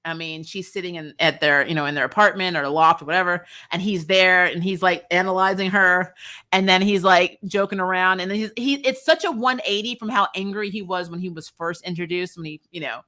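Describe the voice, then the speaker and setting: A woman's voice: lowish voice, steady tone, brisk, sharp tone. A woman hosting a recap show, reviewing in a casual, chatty, slangy way and animatedly retelling things. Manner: chatty, slangy, punctuated with laughter.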